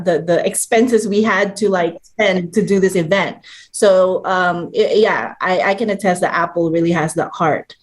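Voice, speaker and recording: A woman speaks as a podcast co-host. Her voice is slightly low for a woman. She recounts things in a casual, earnest way, pausing now and then to find her phrasing. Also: darkish tone. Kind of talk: casual anecdote telling.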